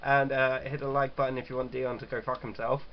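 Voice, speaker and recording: A man's voice: mid-range voice, little pitch movement, a little fast, dull audio. A man hosting a casual gaming read-aloud, jokey and sweary, stumbling a little as he reads. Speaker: gaming video host.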